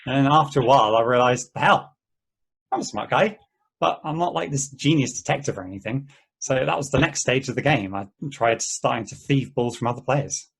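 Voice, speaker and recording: A man playing an easygoing game player in an amateur table read, talking in a halting, conversational way. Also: mid-range voice, creaky, husky. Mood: reminiscing. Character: easygoing, rambling male player of an endless treasure-hunt game.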